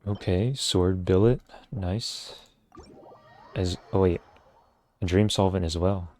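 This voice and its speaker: Low-pitched, unhurried man streaming a game. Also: darkish tone. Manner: low-key, thinking aloud. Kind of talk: casual solo gameplay commentary. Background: video game music.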